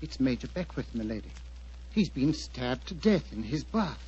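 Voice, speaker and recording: An actor playing a deferential household butler, formal and halting, in 1940s radio style. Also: male voice, medium pitch, very animated, darkish tone, lo-fi sound, slight background noise, lightly acted. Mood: shaken. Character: deferential household butler bearing grave news.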